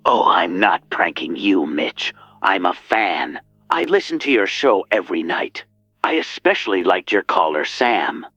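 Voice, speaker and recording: An actor playing a menacing male killer who calls a radio show, calm and teasing. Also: low voice, no echo, lo-fi sound, exaggerated voice. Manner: slow, teasing, sinister. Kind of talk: audio drama acting.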